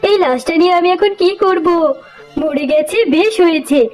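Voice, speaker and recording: A woman's voice: very high voice, moderate pace, a little mellow, soft background music. A woman voicing a cruel, haughty tigress wife in a melodramatic cartoon, scolding and threatening with open contempt. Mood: alarmed, callous. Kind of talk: melodramatic cartoon story acting.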